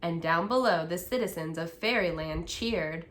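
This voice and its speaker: A woman telling a story to children as a storyteller and teacher, in an expressive storybook style. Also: lowish voice, moderate pace.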